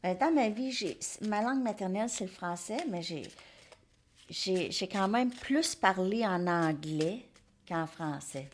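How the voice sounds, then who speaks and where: A woman's voice: medium pitch, animated, a little slow, a little hiss or hum. A woman giving a casual tarot reading, rambling and hesitant, sometimes dropping to near-whispers. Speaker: tarot card reader.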